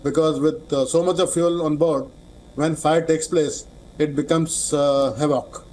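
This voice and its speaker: A man with an Indian accent giving expert commentary in a live news interview, speaking haltingly and repeating words as he goes. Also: on the high side, steady tone, darkish tone, rough recording. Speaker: aviation expert, interview guest.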